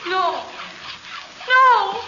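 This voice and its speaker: A woman's voice: very high voice, expressive, very slow, heavily acted. An actress playing a frightened yet defiant captive woman in a melodramatic, urgent old radio horror style. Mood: panicked muttering. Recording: very noisy, dull old radio recording.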